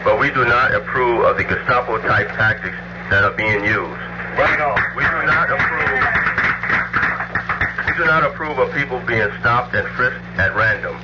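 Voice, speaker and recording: A man's voice: mid-range voice, normal speed. An American man, a police spokesman, giving a public statement in a muffled, noisy news recording.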